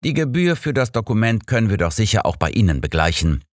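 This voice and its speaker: A man's voice: on the low side, big pitch swings, fast talker, no echo, clean studio sound, voice pushed up. A man voicing a suave, sardonic old master detective in an audiobook. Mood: smooth. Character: old master detective, dry, grim and smug.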